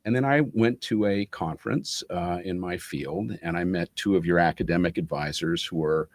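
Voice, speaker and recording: A man's voice: sits low, moderate pace, no echo, clean studio sound. A man giving explanatory interview answers in a methodical, professorial way. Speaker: interview guest, business school academic.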